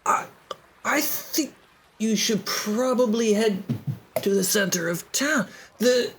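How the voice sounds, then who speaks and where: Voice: mid-range voice, takes it slowly, a bit bright, a little hiss or hum, voice pushed up. A game master voicing Quint, a nervous young man who stumbles over his words. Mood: flustered. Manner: hesitant, stumbling restarts.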